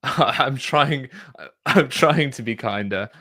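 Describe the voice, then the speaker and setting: A man co-hosting a video-call show, talking through church talks in a measured, hesitant, self-deprecating way. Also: mid-range voice, bright, forceful tone, no room sound, clean studio sound. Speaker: co-host presenting slides. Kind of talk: casual reflective commentary.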